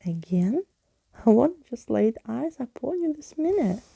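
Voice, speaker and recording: A woman's voice: on the high side, big pitch swings, a little slow, vocal fry, mellow, breathy edge, no echo, pitched above the performer's own voice, heavily acted. A woman voicing a plucky, eager young girl in a storybook, wide-eyed and full of playful slang. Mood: amazed.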